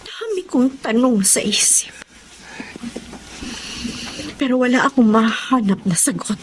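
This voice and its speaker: An actress playing a betrayed, bitter and resolute wife in an emotional radio drama style. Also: female voice, a little high, lively intonation, unhurried, noisy background. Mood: anguished, hurt. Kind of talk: Filipino radio drama acting. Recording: dramatic music behind the voice.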